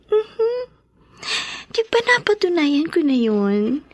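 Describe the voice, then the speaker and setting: An actress playing an affectionate young wife in love in a radio drama, breathy and often dropping into whispers. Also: female voice, sits high, very animated, slow, dry recording, studio quality, heavily acted. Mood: fond, teasing.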